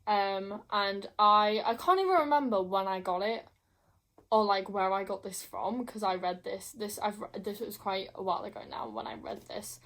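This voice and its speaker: A woman's voice: mid-range voice, moderate pace, fairly bright tone. A young woman chatting casually as a book vlogger, emphatic and gushing, often repeating herself. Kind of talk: casual chat to camera.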